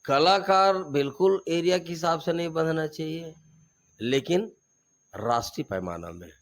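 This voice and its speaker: Fiery Chhattisgarhi-accented man, high voice. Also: lively intonation, normal speed. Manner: fiery, emphatic, repeats slogans. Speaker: regional activist interviewee. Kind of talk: interview answers.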